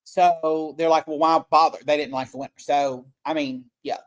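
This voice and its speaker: A woman's voice: low voice, steady tone, normal speed. A woman in her forties hosting a casual podcast chat, brash and teasing. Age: 40s. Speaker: podcast host.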